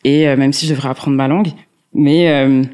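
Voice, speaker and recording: A woman's voice: very deep voice, fairly even intonation, fairly quick, dark tone, smooth. A young woman in casual conversation, talking with many fillers and restarts. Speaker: co-host. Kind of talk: casual chat.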